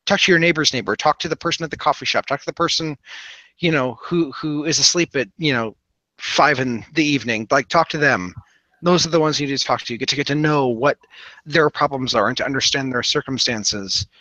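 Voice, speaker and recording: A man in earnest, conversational discussion, speaking haltingly and reflectively and repeating words for emphasis. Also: mid-range voice, sharp tone, no echo, poor audio.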